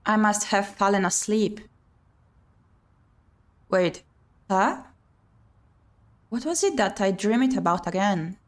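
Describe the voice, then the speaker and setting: A woman's voice: mid-range voice, animated, slow pace, long pauses. A woman playing a visual novel on her channel, reading the text aloud and adding chatty commentary. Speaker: video game streamer.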